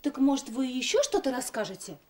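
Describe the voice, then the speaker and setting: Актриса играет строгую, но добрую гувернантку баронов: чопорно, официально, с укором. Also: female voice, sits high, big pitch swings, brisk, a little mellow. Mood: stern. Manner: prim, formal, scolding. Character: strict kindly governess of the barons. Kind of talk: children's TV comedy acting.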